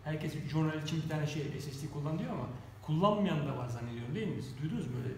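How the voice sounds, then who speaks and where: Audience guy, unsure, hedges a lot, slightly high voice, noisy room. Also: animated, racing, a little mellow. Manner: tentative, hedging. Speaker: audience member asking questions. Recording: echoey room, background noise.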